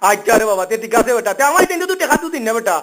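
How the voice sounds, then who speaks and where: A man's voice: very high-pitched, sing-song, very fast, fairly bright tone. A man with a Sylheti accent on a phone call, talking informally in a pleading, complaining, repetitive way. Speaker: phone caller.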